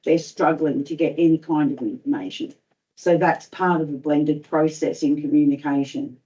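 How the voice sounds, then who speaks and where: A woman councillor arguing her point in a council meeting, her voice going hoarse. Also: deep voice, steady tone, normal speed, warm tone. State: losing her voice. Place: council chamber. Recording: dull lo-fi meeting audio.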